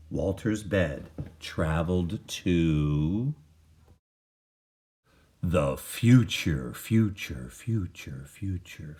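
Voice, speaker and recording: A man reading a children's picture book aloud in an animated, dramatic manner. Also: low-pitched. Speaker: story reader.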